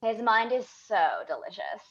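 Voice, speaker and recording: A woman's voice: medium pitch, sing-song, moderate pace. A woman at a table read playing a breezy, spacey, affected young party girl with slangy delivery. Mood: breezy, gushing. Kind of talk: screenplay table read, comic dialogue. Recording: dull, lo-fi microphone sound.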